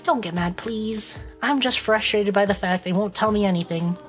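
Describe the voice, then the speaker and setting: A woman's voice: medium pitch, normal speed, faint music behind. A woman streamer with a stuffy, congested voice, reading a visual novel aloud and breaking off to joke with her chat. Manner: playful, reads dialogue aloud and breaks off to joke.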